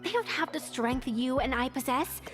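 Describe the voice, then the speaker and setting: A woman's voice: sits high, lively intonation, moderate pace, a bit bright. An actress voicing a playful young woman fighter who turns earnest in a game. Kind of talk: voiced game dialogue. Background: game music.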